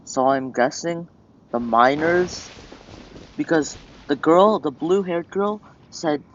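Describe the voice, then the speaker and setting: Unhurried deadpan male gamer, muffled. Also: a little high, a little hiss or hum. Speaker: gamer reacting while playing. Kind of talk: casual playthrough commentary. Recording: muffled sound with game music.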